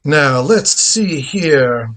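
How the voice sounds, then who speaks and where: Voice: mid-range voice, animated, drawn-out words, fairly bright tone, slight background noise. A performer playing an inquisitive old grandfather scientist who travels through time, musing and exclaiming theatrically in a script reading of a radio drama. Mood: musing, puzzled.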